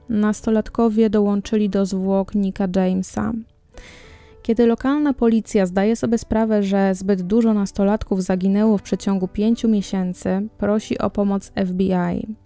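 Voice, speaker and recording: A woman's voice: medium pitch, mellow, smooth, no room sound. A woman narrating a scripted true-crime podcast as its host.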